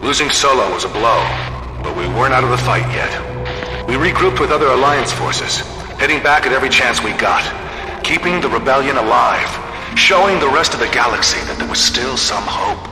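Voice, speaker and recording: An actor playing a battle-weary rebel squadron veteran who recounts his war in grave, measured narration for a game. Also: mid-range voice, expressive, natural voice. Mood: determined. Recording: echoing hall reverb, loud music and battle sounds.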